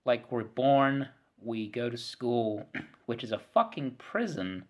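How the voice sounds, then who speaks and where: A man in his mid-thirties talks straight to his camera in a long, frustrated rant. He circles back over his words, stumbles on repeats and swears freely. His throat clearing keeps breaking into the flow. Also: mid-range voice, lively intonation, slow. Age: 30s. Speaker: vlogger. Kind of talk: frustrated ranting monologue to camera.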